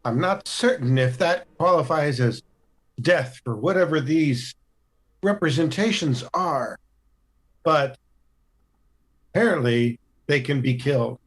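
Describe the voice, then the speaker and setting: A man's voice: fairly high pitch, animated, unhurried, long pauses, slightly rough. A man talking casually as a player at a tabletop role-playing game.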